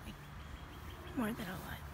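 A woman giving casual vlog narration from behind a handheld phone outdoors. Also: measured pace. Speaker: person filming the vlog. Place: outdoors on grassy property. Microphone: handheld phone, behind the camera. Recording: very noisy outdoor phone video.

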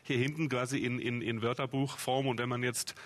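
A man's voice: medium pitch, little pitch movement, brisk. A man in his forties from Swabia, a guest author explaining in an informal, eager way and piling up phrases. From Swabia, Germany. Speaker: memory trainer and author, guest. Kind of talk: animated explanatory interview talk. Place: TV studio at a table.

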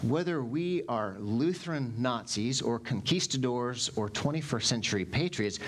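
A man in his forties preaches to his congregation on a headset mic, his voice rising and falling with wide, lively swings. He talks in an animated way, gesturing as he goes. Faint room noise sits under the sanctuary recording. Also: medium pitch. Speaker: pastor. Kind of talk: sermon. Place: church sanctuary stage.

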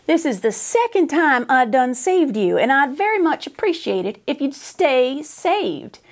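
Woman acting drawling, high-pitched folksy cowboy sheriff. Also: sing-song, unhurried, heavily acted. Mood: scolding. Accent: American. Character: folksy male Wild West sheriff, boastful, well-meaning, dim.

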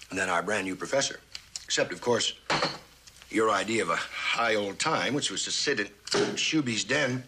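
An actor playing a cocky, wisecracking novelist and charmer in a jaunty, teasing, theatrical style of old television drama. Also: male voice, mid-range voice, lightly acted. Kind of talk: 1960s television drama acting. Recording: old TV soundtrack, faint hiss.

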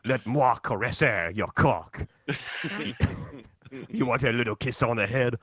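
A man's voice: mid-range voice, a little slow, a bit bright, slightly rough, dry recording. A man voicing a flustered, amorous French servant with an exaggerated put-on French accent in a comic reading. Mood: breathy, amorous.

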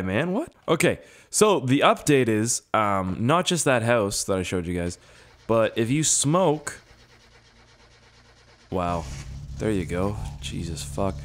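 A young man with a hoarse, strained voice giving casual, profane, self-mocking commentary while playing a game. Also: mid-range voice. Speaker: gaming YouTuber. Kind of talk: casual let's-play commentary.

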